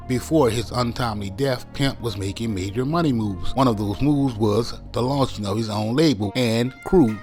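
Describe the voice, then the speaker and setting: Man narrating a dramatic documentary over music. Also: mid-range voice. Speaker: narrator of a hip-hop news channel. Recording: music bed under voice. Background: background music.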